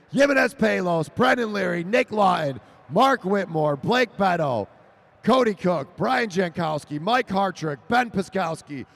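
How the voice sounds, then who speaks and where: A man calling a track cycling race live, rising to shouts as the sprint builds. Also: high-pitched, creaky. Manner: excited, shouting names over the finish. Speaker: race announcer. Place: velodrome over a PA.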